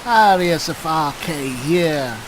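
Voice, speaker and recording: A man's voice: fairly high pitch, animated, slow. A man voicing a genial, chatty armored cleric adventurer in game roleplay, musing and conversational. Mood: genial greeting. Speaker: gamer roleplaying his character. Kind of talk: in-character game roleplay narration. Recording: hissy, rain noise throughout. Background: falling rain.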